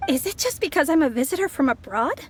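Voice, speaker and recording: High-voiced, sly young schemer. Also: female voice, expressive, fast, a little hiss or hum. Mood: sly, teasing. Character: sly, poised young female outlander schemer. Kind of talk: English video game voice acting.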